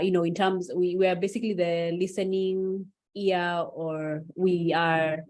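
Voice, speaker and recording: A woman lawyer from Kenya introduces herself on a panel. Her voice sits a little low and she speaks in a composed, fluent way. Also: darkish tone.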